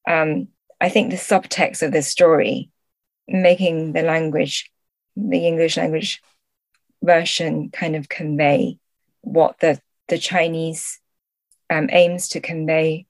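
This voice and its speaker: A woman with a low voice speaks slowly as a podcast guest. She explains carefully and thoughtfully, pausing between phrases. The recording is very dry. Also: darkish tone, dry recording. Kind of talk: explanatory interview talk.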